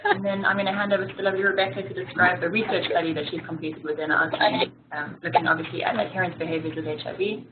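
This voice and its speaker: Lower-voiced South African woman, tinny line. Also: little pitch movement, quick pace, few pauses. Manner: friendly, explanatory, with frequent ums. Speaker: physiotherapist presenter. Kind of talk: webinar presentation. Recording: tinny phone-line audio with clear hiss.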